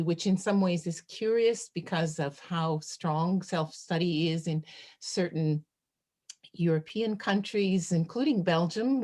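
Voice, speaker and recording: Woman, lowish voice, unscripted webinar speaker on a video call, halting and full of fillers. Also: a little mellow. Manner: hesitant, many fillers and restarts. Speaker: chapter author speaking at a webinar. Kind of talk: unscripted academic talk.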